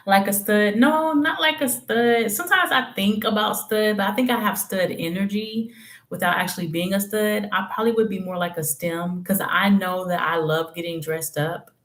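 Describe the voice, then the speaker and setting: A woman's voice: medium pitch, normal speed, fairly bright tone. A woman giving live tarot readings in a candid, slangy, chatty way, thinking aloud with hums and trailing phrases. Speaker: tarot reader. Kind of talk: casual live-stream reading and chat.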